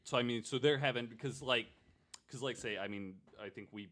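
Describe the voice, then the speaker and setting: A man's voice: mid-range voice, a little fast, a bit bright. A man on a podcast panel chatting casually and thinking aloud, informal and a bit wry. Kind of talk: casual chat, speculating aloud.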